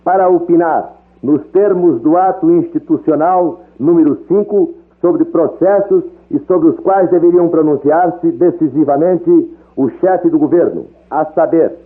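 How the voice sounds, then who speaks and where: A man's voice: fairly high pitch, a little slow. A man reading out a government decree as a formal, solemn official announcer. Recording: dull lo-fi archival radio, music behind.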